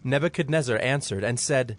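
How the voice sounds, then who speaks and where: A man narrating a dramatized Bible reading in a solemn, measured storytelling manner. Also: medium pitch, slight background noise.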